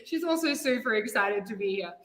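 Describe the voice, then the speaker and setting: Upbeat woman host, slightly high voice, laughs a lot, roomy echoey sound. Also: expressive, fairly quick, fairly bright tone. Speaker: host and CEO. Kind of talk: casual live-stream hosting. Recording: echoey room with long reverb.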